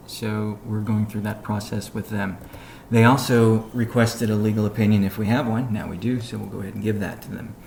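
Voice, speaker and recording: A man giving a measured, businesslike update on a conference call, pausing between phrases as he reads out points and answers questions. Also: lowish voice, creaky, darkish tone. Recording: noisy conference call audio.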